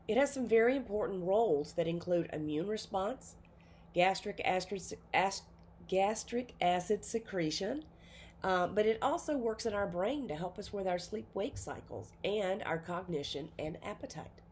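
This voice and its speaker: A woman's voice: medium pitch, animated, moderate pace, a little mellow, a little hiss or hum. A woman with a cold giving a nursing pharmacology lecture in an informal way, with many fillers and self-corrections. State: has a cold. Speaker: nursing instructor. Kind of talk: recorded classroom lecture.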